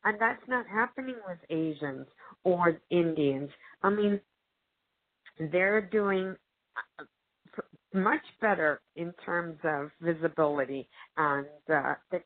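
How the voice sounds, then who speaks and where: A woman's voice: fairly low pitch, slow. A woman actress as a guest in a casual discussion, talking earnestly and emphatically with many fillers. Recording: narrow phone-like audio.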